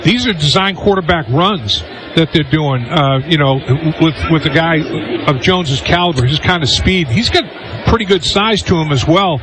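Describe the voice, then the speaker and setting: A man's voice: fairly high pitch, expressive, normal speed, crowd noise. A man giving conversational, explanatory football analysis as a radio color commentator.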